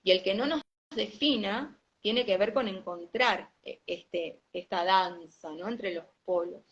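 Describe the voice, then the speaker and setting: A woman, a somatic yoga teacher and guest, speaking calmly and in a measured way with hesitant pauses. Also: mid-range voice. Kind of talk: reflective conversation. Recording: dull lo-fi audio.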